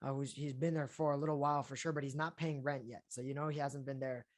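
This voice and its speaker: A young adult man, an actor being interviewed, who talks in a relaxed, chatty way with lots of fillers. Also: mid-range voice, fast talker. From Colombia, raised in Florida and Houston. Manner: relaxed and chatty, with many fillers and easy laughs. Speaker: actor guest. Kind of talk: casual interview talk.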